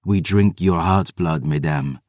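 A young vampire woman, cold and defiant, speaking slowly and deliberately, as a male narrator plays her. His own deep voice shows through, and the recording is close and dry. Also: darkish tone, no room sound.

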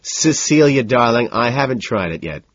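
A man voicing a jovial, self-assured yuppie socialite banker in an audiobook story, loud and hearty. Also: mid-range voice, animated, normal speed, no room sound, highs cut off, voice pushed up, natural voice. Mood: breezy.

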